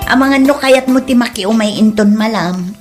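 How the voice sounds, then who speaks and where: A woman's voice: fairly high pitch, moderate pace, over music, natural voice. A woman playing a chatty, nosy married villager in an amateur comedy drama, with a Filipino Ilocano accent. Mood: chatty, complaining.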